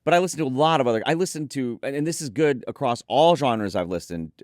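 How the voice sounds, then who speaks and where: A man's voice: medium pitch, lively intonation, quick pace, darkish tone, dry recording, studio quality. A man hosting a conversational product preview, reading out specs and chatting with enthusiasm about them. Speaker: host presenting an audio gear preview.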